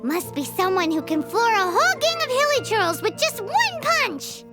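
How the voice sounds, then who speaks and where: A woman voicing a tiny, excitable, childlike fairy girl in a video game, chirpy and full of exclamations. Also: extremely high pitch, moderate pace, over loud music. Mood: curious. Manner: chirpy, exclaiming, speaks of herself in third person.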